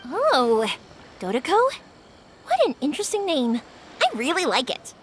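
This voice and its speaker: An actress playing a bubbly, warm-hearted young woman in a video game, friendly, enthusiastic and chatty. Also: high-pitched, huge pitch swings, unhurried, noisy background, exaggerated voice. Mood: warm, excited. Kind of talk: English video game voice acting. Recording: music playing behind the voice.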